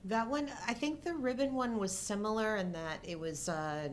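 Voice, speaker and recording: A middle-aged woman co-hosting a casual live show, explaining things in a halting, hesitant way. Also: medium pitch, a little hiss or hum. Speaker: co-host.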